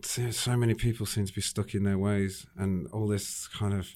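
A man of mid-range pitch, talking as a radio guest with halting, hesitant phrasing full of restarts. He sounds earnest and thoughtful, and his pace is unhurried. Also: steady tone, a little mellow. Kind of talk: casual radio chat.

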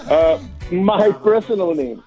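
A man born in Africa, a singer and producer, answering radio interview questions by phone with many pauses and fillers. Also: high voice, warm tone, faint music behind. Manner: halting, many fillers, slow and careful. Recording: tinny phone line.

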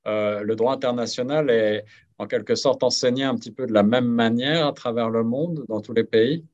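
A man's voice: medium pitch, moderate pace, a little mellow, muffled recording. A male interview host asking long, polite questions while searching for his words. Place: Toronto.

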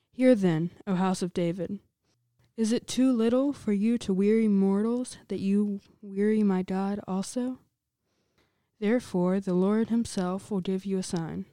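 A woman reading scripture aloud in a measured, solemn way. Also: medium pitch, warm tone, no room sound. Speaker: scripture reader.

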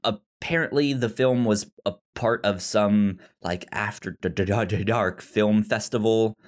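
A man co-hosting a film podcast in casual discussion, halting and stuttering, often repeating sounds and words. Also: fairly low pitch. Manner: halting, hesitant delivery.

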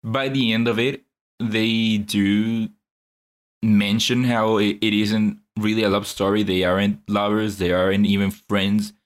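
A man co-hosting a casual podcast, speaking in an earnest, measured way with some restarts. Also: lowish voice, flat delivery. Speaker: podcast co-host.

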